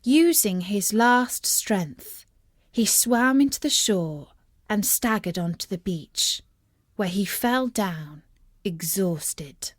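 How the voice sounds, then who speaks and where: A woman narrating a scripted children's story in a measured, expressive storyteller's manner. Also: medium pitch, no room sound, studio quality.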